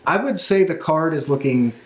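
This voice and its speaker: A man's voice: a little high, expressive, normal speed. A man hosting a casual wrestling talk show, speaking as an enthusiastic fan. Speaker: podcast host. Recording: echoey room.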